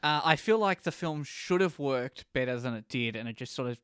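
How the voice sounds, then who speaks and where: A man's voice: medium pitch, animated, a little fast, fairly bright tone, clear, resonant tone, no room sound, clean studio sound. A man co-hosting a casual chat show, giving his reviews of films and series. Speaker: podcast co-host reviewing films and shows.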